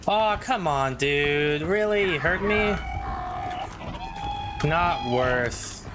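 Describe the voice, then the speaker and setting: A man's voice: a little high, expressive, very slow, over music. A man doing casual, slangy, sweary gameplay commentary and chatting with his viewers. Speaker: video game livestreamer. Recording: clear game noise behind voice. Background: video game sound.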